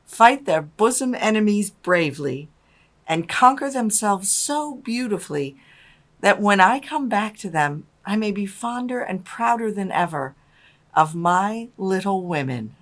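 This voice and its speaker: An actress playing a loving, patient mother in a dramatised storybook, with a gentle and measured manner. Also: medium pitch, expressive, natural voice. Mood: tender.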